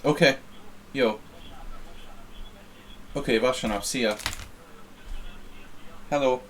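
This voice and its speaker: A man's voice: mid-range voice, slow pace, a little hiss or hum. An American man casually chatting while streaming online chess, rambling and self-deprecating as he reads the chat. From United States.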